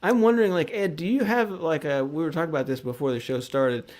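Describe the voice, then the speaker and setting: A man's voice: fairly high pitch, quick pace, a little mellow. A man co-hosting a casual chat show, laughing often and dropping jokey asides.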